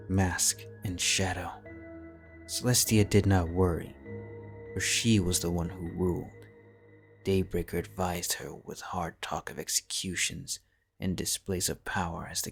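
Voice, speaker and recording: Male audiobook narrator, very deep voice, flat steady delivery, soft music behind. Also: gravelly. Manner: steady, measured storytelling. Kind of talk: scripted fanfiction narration.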